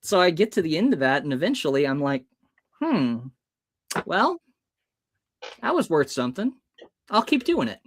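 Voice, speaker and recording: A man's voice: a little high, very animated, normal speed, pauses often. An American man in his early thirties telling personal stories as a podcast guest, expressively acting out bits of dialogue as he goes. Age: early 30s. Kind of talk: animated personal storytelling.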